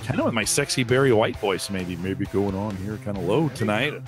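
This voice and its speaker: A middle-aged man hosting a casual tech podcast, hoarse and raspy from allergies, rambling with fillers and restarts, on a boom-arm studio mic in a home studio. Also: lively intonation, a little fast, faint music behind. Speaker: podcast host. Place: home studio room with shelves.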